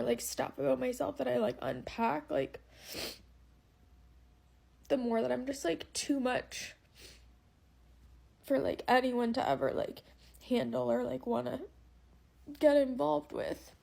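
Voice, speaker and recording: A woman speaks softly and hesitantly in a confessional vlog, filling pauses often. Her slightly high voice is thick with vocal fry. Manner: soft, hesitant, full of fillers.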